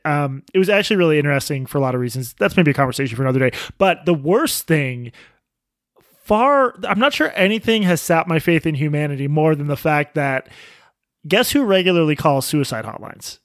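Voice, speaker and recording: A man in his thirties co-hosts a podcast and tells wry, profane stories. His voice sits a little high for a man. The sound is dry and close. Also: lively intonation, a little fast, dry recording. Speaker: podcast co-host. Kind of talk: casual chat.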